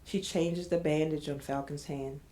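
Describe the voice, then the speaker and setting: A woman's voice: deep voice, fairly even intonation, normal speed, warm tone. A woman narrating an audio drama, reading screenplay stage directions in a scripted style.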